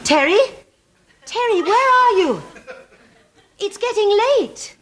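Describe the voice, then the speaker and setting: A woman's voice: very high-pitched, sing-song, slow, fairly bright tone, some chatter behind, full character voice. A woman playing a long-suffering, dry suburban housewife in a TV sitcom. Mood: calling, concerned. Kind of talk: British TV sitcom acting. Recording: noisy, distant old TV recording.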